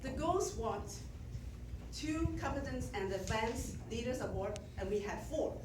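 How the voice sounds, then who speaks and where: A middle-aged woman with a Chinese accent gives a measured, self-deprecating prepared speech to her club as outgoing president. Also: a little high, lively intonation, noisy background. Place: meeting room with audience. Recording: echoey room from a distance.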